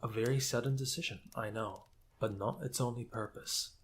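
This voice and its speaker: A man playing a soft-hearted, wounded lord in a fantasy audio roleplay, gentle, formal and somewhat hesitant. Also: mid-range voice, normal speed, slight background noise, lightly acted. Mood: reflective.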